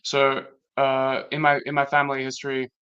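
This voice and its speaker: A young man from Latvia whose first language is Latvian, talking through personal experiences in a casual conversation, reflective and halting with many restarts. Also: medium pitch, completely flat delivery, bright, forceful tone, clean tone. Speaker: guest. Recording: dull lo-fi sound.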